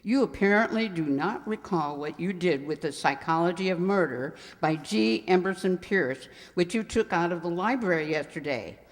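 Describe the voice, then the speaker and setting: Radio play librarian female performer: prim, chatty, gently scolding, lively inflection, ringing hall acoustic. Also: on the low side, moderate pace, few pauses, natural voice. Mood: primly shocked. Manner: fussy, scolding yet genteel. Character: prim, chatty small-town spinster librarian. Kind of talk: amateur radio mystery play acting. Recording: reverberant hall sound.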